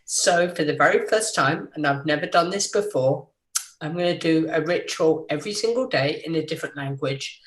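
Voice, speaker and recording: A woman's voice: deep voice, normal speed, reverberant, poor audio. A woman whose first language is Swedish, a guest teacher who chats in a nervous, hesitant, self-deprecating way. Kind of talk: casual chat.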